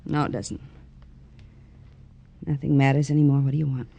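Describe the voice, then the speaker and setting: Creaky, world-weary cornered woman. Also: extremely low pitch, flat delivery, normal speed, warm tone, full character voice. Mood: bitter, despairing. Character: hard-edged, despairing young woman cornered by police. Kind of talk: 1950s radio crime drama acting.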